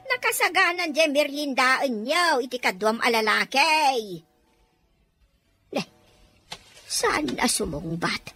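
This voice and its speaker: A high, swooping voice belongs to a nosy, dramatic housemaid acted by a woman in an audio drama. She speaks unhurriedly, with theatrical exclamations and a teasing, wailing manner. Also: pushed and bright, exaggerated voice. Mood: anxious fussing. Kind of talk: Ilocano radio-style drama acting.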